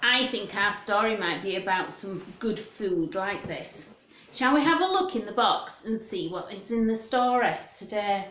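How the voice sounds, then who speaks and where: A woman presenting a children's storytime, chatting in a gentle sing-song way and putting questions to young listeners. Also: mid-range voice, lively intonation, unhurried, slight background noise. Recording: roomy, echoing room sound.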